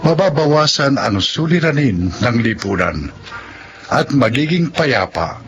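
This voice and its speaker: A man's voice: mid-range voice, big pitch swings, unhurried. A man with a Filipino accent reading scripted narration as a radio drama announcer. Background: music.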